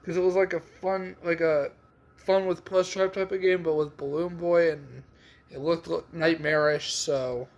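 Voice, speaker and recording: A man's voice: fairly high pitch, steady tone, measured pace, muffled recording. A man hosting a reaction video with casual, hesitant commentary, thinking aloud. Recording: loud background noise.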